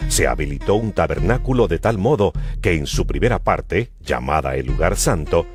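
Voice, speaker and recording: A man's voice: low-pitched, animated, moderate pace. A man narrating dramatized scripture in an emphatic, declamatory manner. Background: music.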